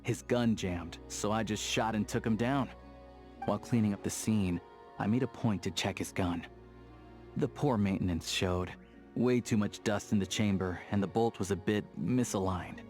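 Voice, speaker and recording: A man voicing a pragmatic, easygoing young hunter and lawman in a video game, talking in an unhurried, matter-of-fact way. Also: lowish voice, lightly acted. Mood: wry, matter-of-fact. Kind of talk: video game character dialogue. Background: soft background music.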